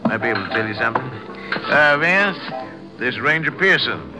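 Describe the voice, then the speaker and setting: A man's voice: mid-range voice, big pitch swings, normal speed, natural voice, rough recording. An American man playing an easygoing, obliging roadside diner worker in a 1950s radio western. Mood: casual. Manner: folksy, obliging. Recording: old radio recording with music.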